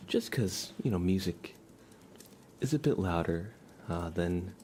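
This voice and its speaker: A man speaking softly and at times near a whisper, hosting a casual, unhurried ASMR livestream. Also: sits low, expressive, slight background noise. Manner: soft, hushed, partly whispered, unhurried. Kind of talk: soft-spoken ASMR livestream chat. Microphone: sensitive streaming microphone with monitoring.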